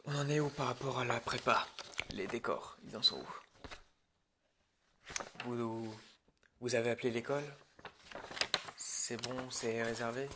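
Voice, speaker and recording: Man acting bossy irritable film director, mid-pitched, unhurried delivery, curt and sarcastic, muttered hesitations, parody roleplay. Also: fairly even intonation, background noise, lightly acted. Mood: impatient. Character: irritable, bossy male film director running a prep meeting. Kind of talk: improvised ASMR roleplay parody.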